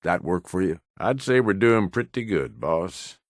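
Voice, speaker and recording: An actor playing a roguish, cynical but loyal raider in a video game, with a folksy drawl. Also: male voice, low voice, lively intonation, a little slow, no room sound, clean studio sound. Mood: fond, earnest. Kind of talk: video game companion dialogue.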